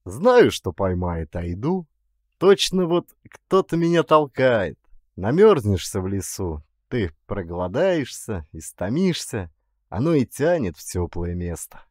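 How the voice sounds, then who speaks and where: A weary former bandit from an audiobook tale, acted out by a male reader, talks in calm peasant idiom. He is frank and resigned, gloomy underneath, and his pitch moves widely. The sound is close and dry. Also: a little slow, no echo. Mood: sad, resigned. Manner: plain folksy peasant speech, calm.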